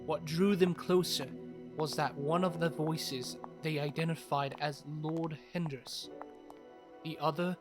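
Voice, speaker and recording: A man's voice: on the high side, steady tone, a little slow, over music, natural voice. A man reading scripted narration as the storyteller of an audio drama.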